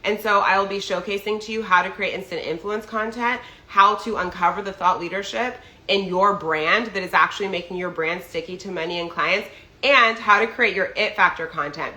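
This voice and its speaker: A woman's voice: medium pitch, pushed and bright, echoey room. A young adult woman getting over a cold and sounding a bit nasal, talking casually as a business coach. She chats in a loose way, with lots of "like" and "right?". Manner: chatty, filler-heavy, often asks "right?". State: recovering from a cold, slightly nasal. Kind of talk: casual monologue to followers. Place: New York.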